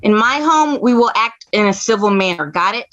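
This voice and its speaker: A woman's voice: medium pitch, lively intonation, normal speed, fairly bright tone, lightly acted. A woman playing a stern, commanding lady of the house in a screenplay table read. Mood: stern, controlled. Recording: muffled lo-fi sound.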